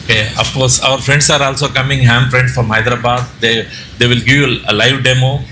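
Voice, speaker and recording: A man with an Indian Telugu accent giving an informal slide presentation, speaking haltingly with repeated words and self-corrections. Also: mid-range voice, fairly even intonation, sharp tone. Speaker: presenter, amateur radio operator and trainer. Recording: echoey room with background noise.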